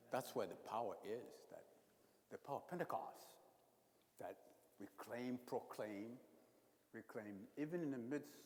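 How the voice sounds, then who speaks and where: An older retired priest from Uganda, preaching an animated sermon with repetition and humor. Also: male voice, on the low side. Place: church. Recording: long church reverb.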